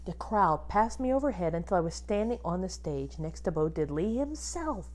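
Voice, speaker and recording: A woman reading a children's book aloud expressively, like a teacher, pausing to instruct and put questions to young listeners. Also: lowish voice, expressive, a little mellow. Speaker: music teacher reading a picture book to pupils.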